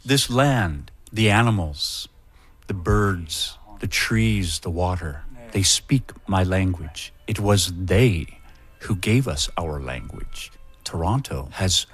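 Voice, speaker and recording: A Mohawk man speaking in a slow, measured, solemn way about his land and language. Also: deep voice, animated, slightly rough. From Mohawk of the Iroquois. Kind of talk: solemn spoken reflection. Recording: soft music behind the voice.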